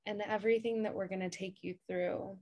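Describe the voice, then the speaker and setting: A woman podcast co-host leading a calm guided meditation with spoken instructions. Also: mid-range voice, brisk, no echo.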